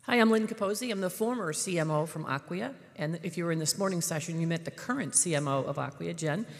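A woman's voice: on the low side, fast talker. A woman introducing herself at a meeting in an upbeat way. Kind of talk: self-introduction. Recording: long hall reverb.

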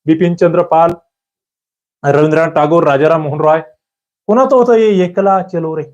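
Casting Male exam-prep teacher, somewhat high voice, quizzing students, muffled lo-fi audio. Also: a little mellow. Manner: quizzing, demanding quick answers. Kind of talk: quiz-style lecture. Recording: muffled low-fidelity recording.

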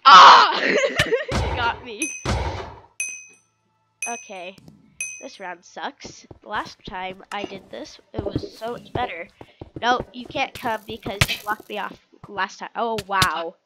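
A woman's voice: mid-range voice, animated, slow, loud music behind the voice. A young woman with a stuffy, sick-sounding voice giving casual commentary while playing a game, cheering and groaning at what happens. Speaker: gaming video creator.

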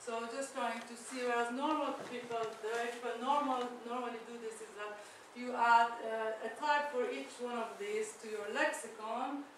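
A woman's voice: fairly high pitch, fairly even intonation, normal speed, hardly any pauses. A woman whose first language is Persian gives a chatty, self-deprecating conference talk with many fillers and restarts. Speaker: academic speaker. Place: lecture room. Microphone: far camera. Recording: echoey lecture room from far off, noisy.

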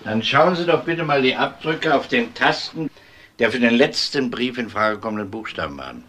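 An actor playing a dry, polite, methodical police detective questioning people in a German crime radio drama. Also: male voice, mid-range voice, lively intonation, moderate pace, bright, forceful tone, husky. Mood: businesslike.

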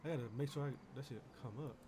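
A man's voice: mid-range voice, rapid-fire. A man streaming an online role-play game, chatting casually in street slang and firing off repeated phrases. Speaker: streamer role-playing a gang member. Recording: noisy gaming headset microphone.

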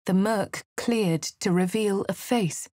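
An actress with a posh English accent voicing a plucky female adventurer hero for a video game, crisp and well-spoken, close to a studio mic. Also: medium pitch, slow, mellow, dry recording, natural voice. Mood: wry. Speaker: player character.

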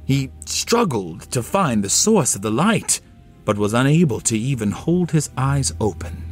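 A man narrating a classic story as a solo audio play, with theatrical, deliberate phrasing. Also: medium pitch, sing-song. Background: music under the voice.

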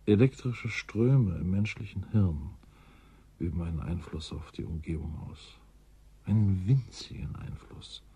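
A brooding, resigned scientist in a science fiction radio play. His voice sits low and is gravelly and husky. He speaks slowly and deliberately, weighing each phrase. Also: warm tone, exaggerated voice. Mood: musing, lecturing. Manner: slow, halting, deliberate. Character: brooding male scientist, tender, resigned theorist. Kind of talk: German science fiction radio drama acting.

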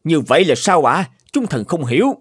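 An actor playing an anxious, obsequious royal minister in a fairy-tale cartoon. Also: male voice, a little high, expressive, moderate pace, natural voice. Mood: baffled, fawning. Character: flustered, fawning royal court ministers. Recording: music behind the voice.

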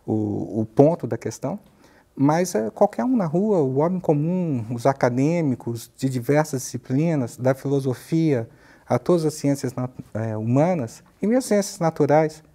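A man in his fifties with a Brazilian accent, a professor explaining ideas in a measured, halting way in a studio interview. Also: medium pitch, mellow. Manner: measured, halting, searching for words. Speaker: university professor, interview guest. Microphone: lapel mic.

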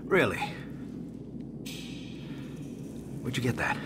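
A man's voice: fast, background noise, natural voice. An actor playing a guarded, sceptical tough guy in his thirties in a video game, clipped and sarcastic. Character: terse, guarded, sceptical tough male protagonist. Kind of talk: naturalistic video game acting.

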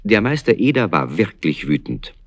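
An actor playing a kindly storyteller, narrating a scripted children's radio play. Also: male voice, low-pitched, very animated, moderate pace, no room sound, studio quality, exaggerated voice. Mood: amused. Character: male storyteller, lively and kindly.

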